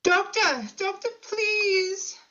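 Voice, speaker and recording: Casting: frantic trapped young woman in a drama read, extremely high, bright pleading voice, slightly dull recording. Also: drawn-out words, exaggerated voice. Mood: panicked. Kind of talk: script table read.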